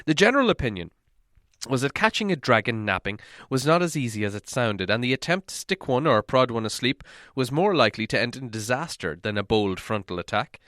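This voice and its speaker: A man's voice: mid-range voice, animated, a little fast, fairly bright tone, no echo, studio quality. A man reading scripted storybook narration as an audiobook narrator.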